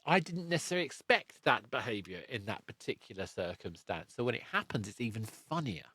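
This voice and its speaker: A man's voice: mid-range voice, sing-song, brisk, pushed and bright, breathy edge, no room sound. A man hosting a podcast, chatting casually in a rambling, reflective way. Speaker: podcast host and interviewer. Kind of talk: casual interview chat.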